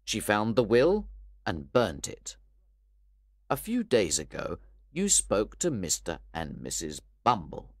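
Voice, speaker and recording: A man voicing a stern, measured old gentleman. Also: lowish voice, huge pitch swings, no room sound, studio quality, heavily acted. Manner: firm, measured. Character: stern, calm older gentleman accuser.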